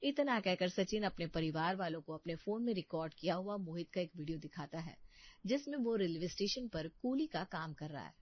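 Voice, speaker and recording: A woman's voice: fairly low pitch, animated, fairly quick, no echo, dull audio. A woman narrating a scripted story as its storyteller.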